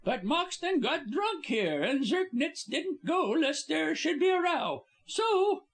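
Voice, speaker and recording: A man voicing a cheerful, chatty young actress in a high, babbling voice. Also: sing-song, takes it slowly, exaggerated voice. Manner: bubbly, babbling, high-pitched.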